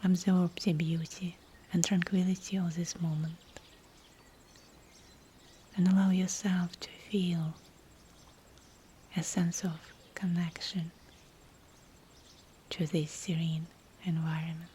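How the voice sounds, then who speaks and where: A woman leads a guided visualization in a soft, breathy whisper. Her delivery is very slow and soothing, with a dark, mellow tone and hushed, spaced-out phrases. Faint nature ambience sits behind her voice. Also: on the low side, flat delivery, a little hiss or hum. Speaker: meditation guide. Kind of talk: guided visualization meditation, scripted narration.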